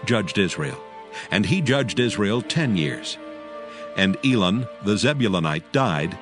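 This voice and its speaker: A man narrating scripture as a formal, solemn dramatic reading. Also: on the low side.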